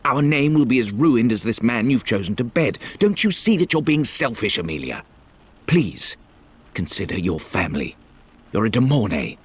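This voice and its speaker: A man's voice: medium pitch, very animated, normal speed, breathy edge. An actor playing a stern upper-class man, reading an admonishing family letter aloud in a formal manner for a video game. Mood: urgent, reproving. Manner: formal, reproving. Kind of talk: dramatic game letter narration.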